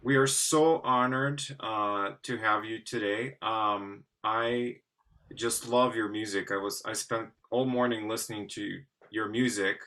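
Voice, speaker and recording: A man's voice: fairly low pitch, fairly even intonation, a little slow, pushed and bright, low-quality recording. A man speaking enthusiastically and conversationally as he interviews guests on a video call. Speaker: interviewer, artistic director and clarinetist.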